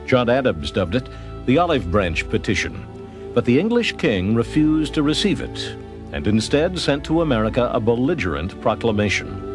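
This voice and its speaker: A man's voice: medium pitch, very animated, normal speed. A man delivering scripted historical narration as the narrator of a television documentary. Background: music.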